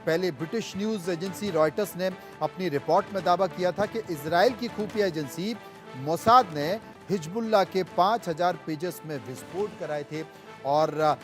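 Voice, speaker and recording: A man's voice: sits high, normal speed. A man anchoring breaking news, emphatic and repeating key phrases as he presents and questions guests. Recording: music bed behind the voice. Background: news music.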